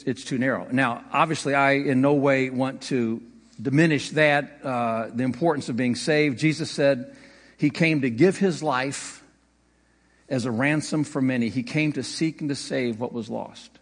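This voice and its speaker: A man's voice: mid-range voice, unhurried. A man preaching a sermon as pastor, conversational, with occasional slips and light laughter. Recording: long hall reverb.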